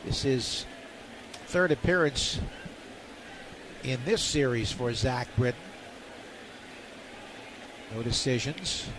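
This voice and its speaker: A man's voice: mid-range voice, lively intonation, slow, pauses often. A man doing live radio play-by-play commentary of a baseball game from a stadium booth. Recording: noisy outdoor stadium ambience.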